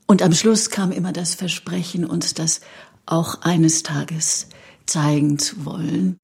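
A woman's voice: fairly low pitch, fairly even intonation, slow, vocal fry, darkish tone, husky. A woman sharing a reflective personal recollection.

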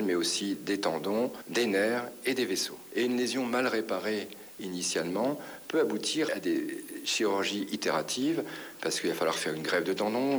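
A man explaining things as an expert in a radio interview. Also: low-pitched. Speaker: hand surgeon. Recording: hissy radio interview recording.

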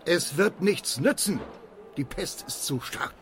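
A fatalistic man with something to hide in a radio drama. The voice is on the high side for a man. He sounds evasive and defensive, and grows halting under pressure. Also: animated, a little hiss or hum. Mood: dismissive, fatalistic. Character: evasive, fatalistic, jittery man hiding a criminal past.

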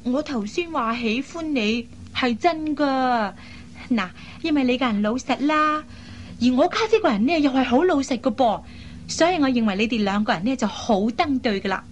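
A woman acting a lively, headstrong young matchmaking sister in a radio drama, with a chatty, coaxing manner. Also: high-pitched, moderate pace, a little hiss or hum. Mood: playful, insistent. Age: young adult. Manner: coaxing, chatty, expressive with sighs and particles.

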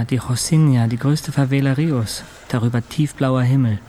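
An actor playing a weakened, introspective hospital patient in a radio play, speaking in measured, terse fragments. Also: male voice, medium pitch, a little mellow, a little hiss or hum, natural voice. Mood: awed, subdued. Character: weakened male hospital patient, introspective, haunted, recounting his ordeal. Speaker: actor narrating a radio play in first person. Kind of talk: literary radio drama monologue.

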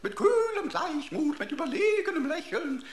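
High, pompous talking computer. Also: female voice, very animated, moderate pace, roomy sound. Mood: indignant, mocking. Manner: pompous, florid, mock-formal, theatrical, teasing. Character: pompous, emotional talking pocket computer, a sarcastic sleuth sidekick. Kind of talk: German radio play acting.

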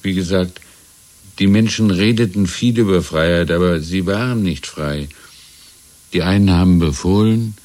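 An actor playing a wistful, deferential old shopkeeper who talks in a halting, musing way, in a 1970s radio drama. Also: male voice, low voice, a little mellow, close to an everyday voice. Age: older. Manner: halting, musing, repeating himself. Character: wistful, deferential old shopkeeper reminiscing about lost freedom.

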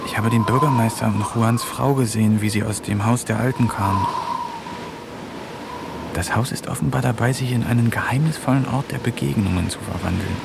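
A man's voice: medium pitch, a little fast, gravelly, very noisy. A man narrating a radio drama in the first person, wry and brooding, like a diary. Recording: studio radio play with loud music.

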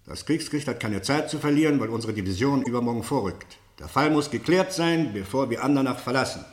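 Actor as a severe general, echoing room. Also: male voice, mid-range voice, lively intonation, brisk, close to an everyday voice. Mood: severe, formal. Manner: formal, declamatory. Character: severe general presiding over a court-martial. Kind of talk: 1960s German radio play acting.

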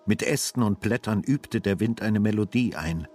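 An actor is the composed narrator of a detective radio play. His low male voice delivers literary prose in a sober, measured way, with faint music underneath. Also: darkish tone, lightly acted.

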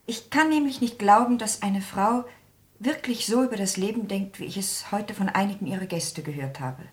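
An earnest, puritanical young woman played by a woman in a 1960s radio play. Her voice is medium in pitch and her delivery is grave and sincere. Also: normal speed, lightly acted. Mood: earnest, disapproving.

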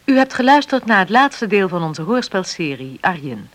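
A woman radio announcer reading scripted credits in a formal, measured manner. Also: medium pitch, very animated.

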